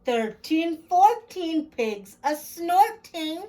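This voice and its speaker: Female children's story-time host: high-pitched, swooping intonation, expressive read-aloud delivery aimed at young kids. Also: extremely slow.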